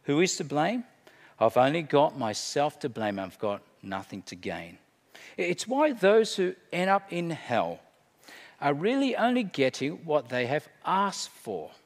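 A middle-aged man preaching a sermon, emphatic, swinging between hushed and loud delivery. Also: a little high, very animated, unhurried. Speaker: pastor. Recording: long hall reverb.

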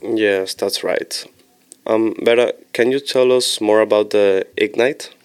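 Low flat-voiced young man hosting. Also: clean tone. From Switzerland. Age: young adult. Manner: measured, reads from notes, thanks each speaker. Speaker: podcast host, student. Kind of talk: casual student podcast.

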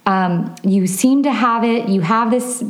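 A woman's voice: on the high side, unhurried. Upbeat chatty woman podcast host. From Los Angeles County. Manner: upbeat, chatty, laughs often. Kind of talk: casual interview chat.

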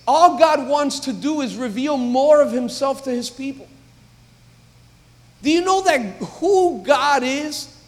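A man, a pastor, preaching a sermon in a church, building from calm talk to emphatic, loud exhortation. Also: very high-pitched. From United States. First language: English. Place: church sanctuary. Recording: long reverb of a large hall.

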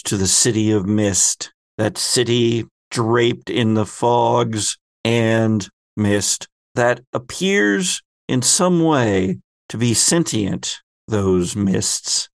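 Deliberate male game master, slow and dry. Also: mid-range voice, creaky, no echo. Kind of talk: narrating a tabletop role-playing game, recap and scene setting.

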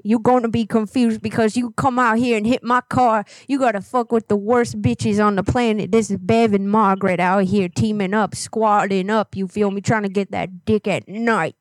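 A woman's voice: mid-range voice, steady tone, moderate pace, hardly any pauses, dry recording, lightly acted. A woman voicing a foul-mouthed, angry old woman prank caller who rambles threats and curses. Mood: furious. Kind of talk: prank phone call.

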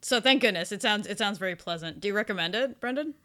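A woman co-hosting a casual podcast discussion, talking in an animated, conversational way. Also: mid-range voice, fast talker. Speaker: podcast co-host.

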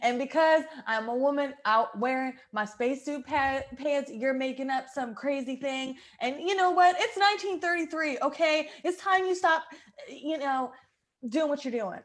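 A woman's voice: high voice, moderate pace, natural voice. A woman improvising an indignant, sarcastic astronaut on Mars in a comedy radio sketch.

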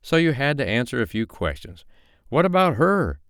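A man's voice: medium pitch, big pitch swings, normal speed, a bit bright, clear, resonant tone, dry recording, clean studio sound, voice pushed up. A man voicing a fair-minded, protective male character in an audiobook, earnest and reproving. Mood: challenging.

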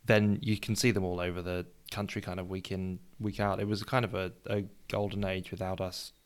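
Low-pitched man discussing sport, thinking aloud. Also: little pitch movement, brisk, clean tone. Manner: hedging, thinking aloud as he talks. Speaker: podcast co-host.

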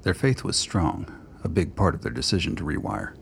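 Very deep-voiced male storyteller. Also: big pitch swings, creaky, a little hiss or hum. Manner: measured literary storytelling. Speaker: narrator. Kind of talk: scripted dramatic narration.

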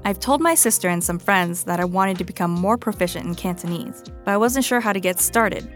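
A woman's voice: mid-range voice, brisk, faint music behind. A woman hosting a podcast, moving between scripted personal narration and interview talk. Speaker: podcast host.

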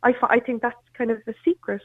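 Woman co-host rambling along, fairly high voice, sounds like a phone line. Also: level tone, fast, husky. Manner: chatty, lots of fillers, laughs easily. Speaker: radio co-host. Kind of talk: radio talk chat.

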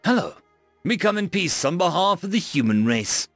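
Brief: man reading as an eager human ship captain, chatty and a bit flustered, lively pitch, dry close sound. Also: moderate pace, no room sound, soft background music. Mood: earnest, friendly. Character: friendly male human starship captain.

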